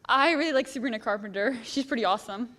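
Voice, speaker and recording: A woman's voice: fairly high pitch, fast talker, a bit bright, close to an everyday voice. A teen girl playing a bubbly popular girl. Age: teens. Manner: gushing, upbeat.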